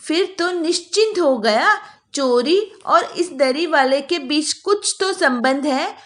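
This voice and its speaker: A very high, animated little girl's voice, acted by a woman for a moral storybook tale. She is smart and insistent, pleading her case and explaining things with eager earnestness. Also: slow, heavily acted. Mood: proud, explaining.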